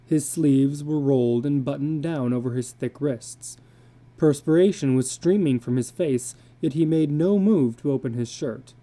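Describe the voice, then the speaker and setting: A man narrating a literary story as a dramatic reading, with measured, deliberate phrasing. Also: mid-range voice, dark tone.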